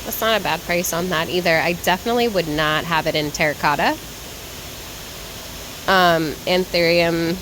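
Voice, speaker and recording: A woman vlogging while she browses a garden center. She chats casually and rambles, naming plants as she goes, and sounds tired and a little raspy from lack of sleep. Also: lowish voice, normal speed, background noise. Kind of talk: casual walk-and-talk vlog. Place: garden center shop floor.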